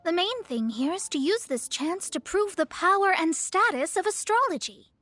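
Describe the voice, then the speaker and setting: A woman's voice: extremely high pitch, lively intonation, moderate pace, a bit bright, no echo. A woman playing a proud young astrologist in a video game, speaking in a formal, grandiose and theatrical way. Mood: proud, confident. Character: proud, self-assured young female astrologist. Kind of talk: English video game voice acting.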